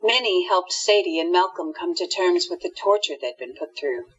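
A woman's voice: fairly low pitch, lively intonation, normal speed, slightly rough. A woman narrating a scripted radio drama, reading scene headings and action. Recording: dull lo-fi audio.

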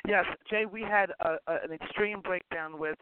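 A male host with a hoarse voice, apologizing and rambling as he runs a casual call-in talk show. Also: sits high, moderate pace, background noise. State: hoarse, lost his voice. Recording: tinny phone line.

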